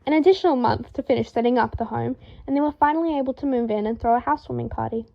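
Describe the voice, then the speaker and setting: A woman reading a story aloud in a lively, expressive way, acting out the dialogue. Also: on the high side, rapid-fire, vocal fry, lo-fi sound. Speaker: fan fiction reader.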